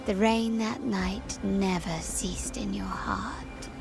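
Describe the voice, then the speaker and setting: A woman's voice: medium pitch, steady tone, slow pace, creaky, music in the background. A woman playing a confident, coolly menacing young mafia heiress in a video game, speaking with composed, theatrical command. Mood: hushed, brooding.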